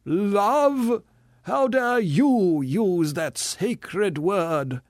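A man voicing a bitter, self-pitying male ghost who declaims and shouts his lines in a drawn-out, theatrical way. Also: fairly high pitch, very animated, no echo, studio quality, pitched above the performer's own voice, heavily acted. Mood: shouting. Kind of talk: audiobook character reading.

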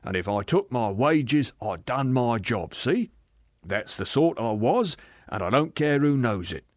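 A man's voice: mid-range voice, very animated, measured pace, no echo, studio quality. A man voicing a sulky, self-righteous working-class ghost in a dramatised audiobook, argumentative and drawn out in his emphasis. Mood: indignant, self-justifying.

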